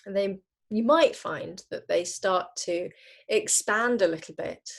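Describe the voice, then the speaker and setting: A woman leading a guided relaxation as a yoga teacher, unhurried and gently instructing, leaving pauses between phrases. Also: mid-range voice, vocal fry, husky.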